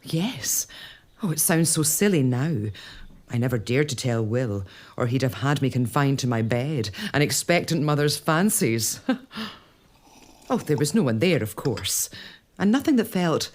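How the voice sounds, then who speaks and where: A woman's voice: sits low, darkish tone, exaggerated voice. An actress playing a young mother, lady of an old castle, who speaks hesitantly and apologetically in a period audio drama.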